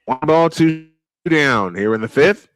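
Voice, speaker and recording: A man calling a baseball game live, unhurried, filling the pauses between pitches. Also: lively intonation, no echo. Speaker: play-by-play baseball announcer. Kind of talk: live sports commentary.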